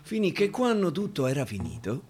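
A man with a Roman accent performing dramatic dialect verse storytelling, with deliberate repetitions and pauses, over guitar. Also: a little high, huge pitch swings. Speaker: actor and storyteller. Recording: live guitar behind the voice.

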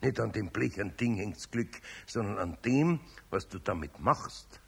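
An older Bavarian craftsman, gruff but kindly and patient. His voice is husky and gravelly, with lively swings in pitch, in the style of a 1970s children's radio play. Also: a little slow, heavily acted. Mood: earnest, patient.

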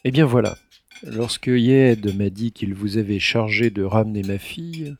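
A man's voice: on the low side, measured pace, a little mellow, a little hiss or hum. A man playing a courteous but sly finance minister in an audio drama, formal and politely insistent. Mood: slyly insistent.

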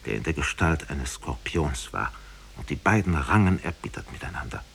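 A man's voice: deep voice, fairly bright tone, slight background noise. A man narrating a fairy tale in a solemn, dramatic 1950s radio storytelling style.

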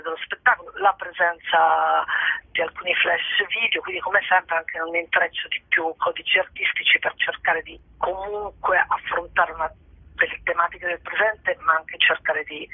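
A woman's voice: lowish voice, steady tone, moderate pace, breathy edge. A woman being interviewed by phone, speaking spontaneously and hesitantly, often rephrasing as she searches for words. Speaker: theatre company member interviewed about a show. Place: on a phone call. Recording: tinny, echoey telephone line.

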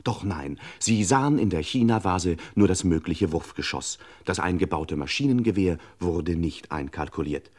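An actor playing a pompous, tongue-in-cheek narrator of a radio crime serial, with ironic, florid and measured delivery. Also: male voice, low-pitched, lively intonation, a little mellow. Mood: ironic gravity. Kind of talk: mock-suspense scripted narration of old crime radio serial.

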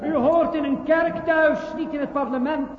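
Sarcastic heckling MP, radio drama: combative, extremely high pitch, reverberant chamber, dull old recording. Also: male voice, fairly even intonation, normal speed. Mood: mocking. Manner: mocking, combative. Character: heckling, sarcastic male opposition member of parliament. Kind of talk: radio play acting. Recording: echoing hall, loud crowd, dull sound.

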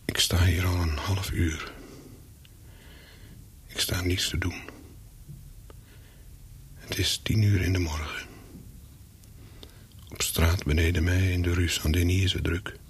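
An actor playing a wary, secretive English hotel guest in a radio play, telling his story in short, measured sentences with a husky voice. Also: little pitch movement, a little hiss or hum. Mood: brooding, reflective. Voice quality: low, husky. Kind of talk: radio play, first-person narration with dialogue.

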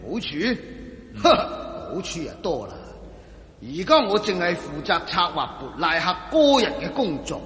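An actor playing a scheming, greedy and menacing villain boss in a Cantonese radio drama, with a sneering, theatrical Hong Kong Cantonese delivery. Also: male voice, high-pitched, very animated, normal speed, a bit bright. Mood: persuasive, scheming. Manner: sneering, gloating, theatrical, with taunting interjections. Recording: echoey reverberant hall sound.

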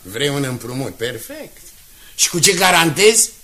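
A man's voice: on the high side, sing-song, pushed and bright. An actor playing a smug, condescending older board chairman in a radio drama, with a patronising and theatrical delivery. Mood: cool, dismissive. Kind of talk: Romanian radio drama acting.

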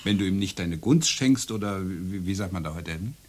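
A man's voice: low-pitched, animated, normal speed. A man acting a flustered, wry university lecturer in a radio play, stammering and conversational, with theatrical delivery. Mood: wry, teasing.

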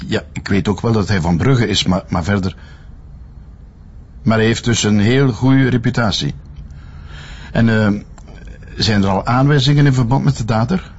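An actor playing a formal, tired official with a Flemish accent and a hesitant manner, on the phone in a TV crime drama. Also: male voice, fairly low pitch, normal speed, pauses often, dull audio, slight background noise, close to an everyday voice. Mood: shaken, probing.

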